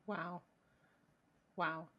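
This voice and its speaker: A woman interviewing a guest, asking questions in a gentle, encouraging way with short affirming replies. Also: lowish voice, steady tone, extremely slow, lo-fi sound. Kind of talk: interview questions on a video call.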